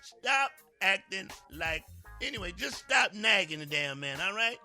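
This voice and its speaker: A man's voice: sits high, sing-song, measured pace, pushed and bright, soft background music. A man co-hosting a radio show, giving comedic advice as a blunt, exasperated rant.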